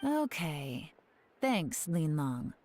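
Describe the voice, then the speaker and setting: A woman voicing a shrewd, composed intelligence operative from a video game, measured and in command. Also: deep voice, very animated, a little mellow, heavily acted. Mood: feigned friendliness. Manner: measured, smooth, authoritative. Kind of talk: scripted game character acting.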